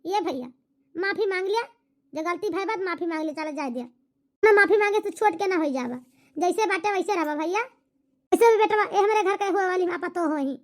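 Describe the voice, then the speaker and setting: Woman playing a weary, high-voiced cartoon mother-in-law. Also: a little fast. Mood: pleading. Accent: Awadhi. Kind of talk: rustic cartoon comedy dialogue.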